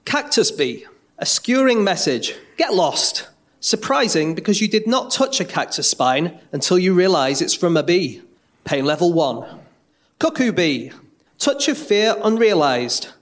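A man's voice: fairly high pitch, fairly bright tone. A man reading aloud in a dramatic style as a podcast panellist.

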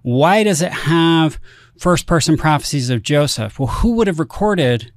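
A man's voice: a little high, lively intonation, measured pace, no echo, studio quality. A man on a podcast explaining a religious text step by step, often posing rhetorical questions. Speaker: guest scholar.

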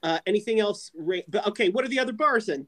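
A man's voice: high voice, lively intonation, fast talker, sharp tone. A man in his forties hosting a casual chat, asking loose, rambling questions. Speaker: host.

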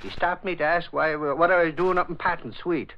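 Man acting a brash higher-voiced Irish newspaperman, muffled old radio. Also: fairly even intonation, fairly quick, a little hiss or hum, close to an everyday voice. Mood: chatty. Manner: folksy, blustering, ungrammatical, repeats himself. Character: brash, talkative Irish male newspaper reporter, comically dim.

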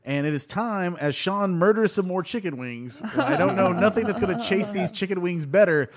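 A man hosting a casual podcast, excitedly retelling TV scenes to his friends. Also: high-pitched, normal speed, a loud crowd. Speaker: podcast host. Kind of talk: casual chat recapping a show.

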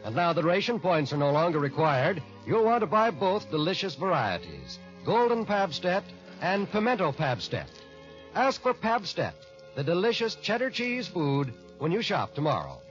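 An American man reading a scripted 1940s radio commercial as a polished sales pitch. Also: sing-song, moderate pace, faint music behind. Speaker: commercial announcer. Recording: dull vintage 1940s radio recording.

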